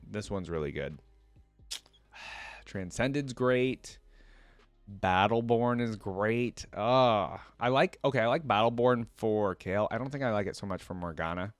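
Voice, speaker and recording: A man's voice: on the low side, no echo. A man talking casually and enthusiastically as a reaction video host, commenting and reading text aloud.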